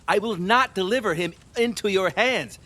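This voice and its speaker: High bright voiced king, jittery n boastful, hems and haws, theatrical audio play. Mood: defensive, insistent. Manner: theatrical, halting, chuckling, hemming and hawing. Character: nervous, boastful, capricious middle-aged male ruler. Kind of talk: classic stage play performed as audio drama.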